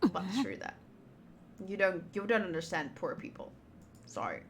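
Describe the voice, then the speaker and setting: A woman's voice: lowish voice, big pitch swings, moderate pace, gravelly, a little hiss or hum. A woman giving casual visual novel playthrough commentary, chatty and thinking aloud as she reads the text. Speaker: game streamer.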